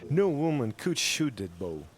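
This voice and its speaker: An actor playing a gruff, skeptical local villager in a jungle adventure audio drama, deliberate and ominous. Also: male voice, mid-range voice, very animated, a crowd in the background. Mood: challenging.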